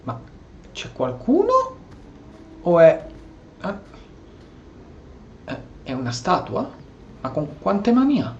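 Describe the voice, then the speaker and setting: A man's voice: high-pitched, huge pitch swings, slow, long pauses. A man with an Italian accent giving casual, theatrical gameplay commentary as a streamer, reading game text aloud in role. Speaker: gaming streamer. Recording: muffled, echoey, faintly noisy room.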